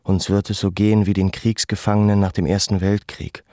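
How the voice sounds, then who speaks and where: A man playing a brooding, weary former camp deportee in a reflective, measured radio drama monologue. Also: fairly low pitch, little pitch movement, mellow, dry recording, studio quality, natural voice. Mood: reflective, resigned.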